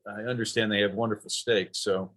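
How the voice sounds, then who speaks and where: A man's voice: on the low side, little pitch movement, fairly quick, fairly bright tone. A man hosting a golf podcast interview, chatting enthusiastically and asking friendly questions. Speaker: podcast host. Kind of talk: casual interview chat.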